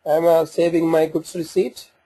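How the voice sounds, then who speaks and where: A man presenting an informal software walkthrough, talking haltingly as he thinks aloud while working through the screens. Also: on the high side, level tone, breathy edge, no room sound, rough recording.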